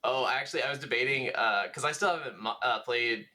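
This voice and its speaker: Bright-voiced man chatting about games. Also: medium pitch, fast talker. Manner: enthusiastic, rambling, casually sweary. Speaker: podcast co-host. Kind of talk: casual gaming podcast chat.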